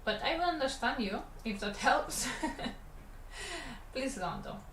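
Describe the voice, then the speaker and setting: A woman's voice: high voice, slow pace. A woman from Catalonia with a Spanish Catalan accent and Catalan as her first language, chatting casually with her stream while she animates. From Catalonia, Spain. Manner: relaxed, rambling, talks to chat while working. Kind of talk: casual livestream chat while drawing. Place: animation studio room. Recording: roomy, faint background hum.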